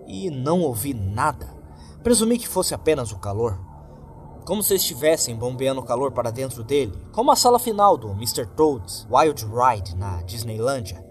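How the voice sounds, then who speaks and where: Male Brazilian horror narrator, mid-pitched, animated intonation, scripted first-person storytelling. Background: background music.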